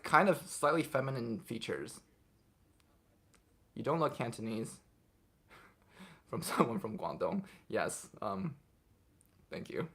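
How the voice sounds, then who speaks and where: A young man hosting a casual livestream, reading viewers' comments aloud and answering them off the cuff in a relaxed way. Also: medium pitch, husky. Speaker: livestream host answering chat.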